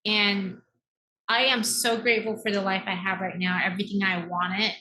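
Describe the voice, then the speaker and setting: A woman's voice: mid-range voice, level tone, normal speed, background noise. A woman of thirty-nine, raised in Taiwan, telling her personal story earnestly and emphatically as an interview guest. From born in America, raised in Taiwan. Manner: earnest, emphatic, uses rhetorical tags like "right?". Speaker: podcast guest, mindset coach.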